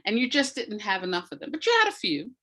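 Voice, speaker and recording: Bright-voiced woman explaining thoughtfully. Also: medium pitch, very animated, fast talker. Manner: explanatory, thoughtful, searching for words. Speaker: academic and audio dramatist, interview guest. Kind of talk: reflective conversational explanation.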